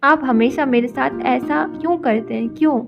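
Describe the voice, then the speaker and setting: An actress voicing a patient, hurt young wife in an animated story, talking emotionally and pleadingly. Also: female voice, high-pitched, normal speed, vocal fry. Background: background music.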